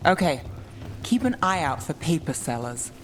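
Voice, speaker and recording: A woman's voice: low voice, big pitch swings, moderate pace. Cool, self-reliant female investigator. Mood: cool, matter-of-fact. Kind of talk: video game dialogue.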